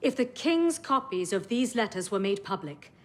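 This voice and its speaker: An actress playing a measured, authoritative assassin leader in a video game, speaking formally and deliberately. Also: female voice, medium pitch, expressive, close to an everyday voice. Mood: measured, grave. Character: measured, authoritative female assassin council elder. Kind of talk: dramatic video game voice acting.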